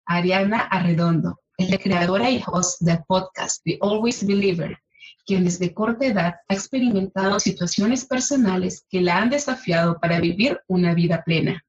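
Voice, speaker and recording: A woman's voice: lowish voice, normal speed, muffled recording. A woman hosting a podcast interview, opening with a read introduction and greeting her guest with effusive praise. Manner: warm, effusive, emphatic praise. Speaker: podcast host.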